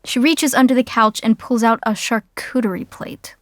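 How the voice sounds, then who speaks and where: A woman's voice: on the high side, moderate pace, dry recording, lightly acted. A woman performing wry, conversational scripted narration for an audio sitcom.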